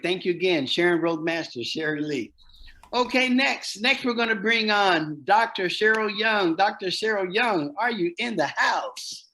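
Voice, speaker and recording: A man's voice: sits high, bright, forceful tone. A man hosting an online company call, introducing guests in an upbeat, repetitive way. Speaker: host.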